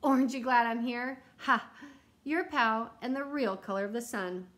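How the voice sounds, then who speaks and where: Boastful, indignant crayon for a picture book, insistent, higher voice, woman reading. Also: normal speed, fairly bright tone, lightly acted. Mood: smug. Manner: insistent, self-important.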